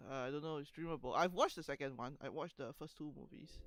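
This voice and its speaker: A man chatting casually as a livestream co-host, halting and repeating his words as he goes. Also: a little high. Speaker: streamer, co-host. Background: background music.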